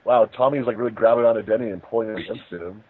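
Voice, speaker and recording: A man's voice: on the low side, very fast. A man chatting as co-host while watching a film, joking and sarcastic. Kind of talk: casual group commentary over a call. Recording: tinny call audio.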